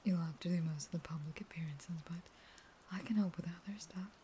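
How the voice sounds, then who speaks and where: A woman playing a manipulative, unstable vampire wife in an intimate audio roleplay, speaking in halting, whispered pleas. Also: on the low side, warm tone, a little hiss or hum, lightly acted. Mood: coaxing, pleading.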